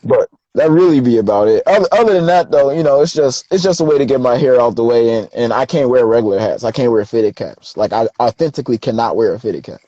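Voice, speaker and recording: A young man, a rapper being interviewed, telling stories in a relaxed, slangy way. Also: mid-range voice, quick pace, few pauses, no room sound, highs cut off. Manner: laid-back, slangy storytelling. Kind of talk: casual interview chat.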